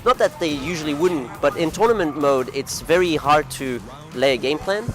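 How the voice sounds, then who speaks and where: A man's voice: on the high side, moderate pace. Rambling male tournament commentator, music behind. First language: not English. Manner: chatty, rambling, laughs at himself. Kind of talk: casual fighting game commentary. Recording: music playing loudly behind.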